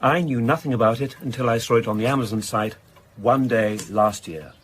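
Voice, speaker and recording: An actor playing an evasive, reclusive crime novelist in a radio drama, British accent, articulate, guarded and pedantic. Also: male voice, medium pitch, fairly quick, a little hiss or hum, lightly acted. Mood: precise, guarded. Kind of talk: naturalistic radio drama acting.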